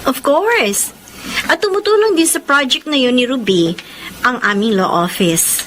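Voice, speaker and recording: A woman's voice: high-pitched, animated, measured pace, fairly bright tone, a little hiss or hum. A woman with a Filipino accent playing a chatty, teasing young best friend in a radio drama. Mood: bright, businesslike.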